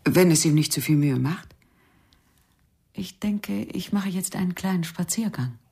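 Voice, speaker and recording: An actress playing a reserved, guarded lady of the house with a very husky voice in a radio crime drama. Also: fairly low pitch, lively intonation, unhurried, mellow, heavily acted. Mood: composed.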